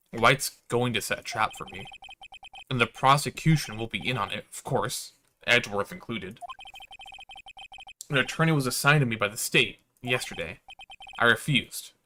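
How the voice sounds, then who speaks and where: A man's voice: mid-range voice, bright, forceful tone, a little hiss or hum, lightly acted. A man voicing a beleaguered young lawyer in a game, wry and brooding. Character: beleaguered young male lawyer, dry and weary.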